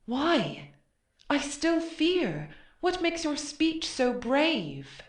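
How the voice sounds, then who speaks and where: A fearful, questioning chorus woman played by an actress speaks solemn tragic verse. Her fairly high voice moves widely in pitch at a very slow pace, with strong echo. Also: very animated, exaggerated voice. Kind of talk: verse stage drama. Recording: echoey room.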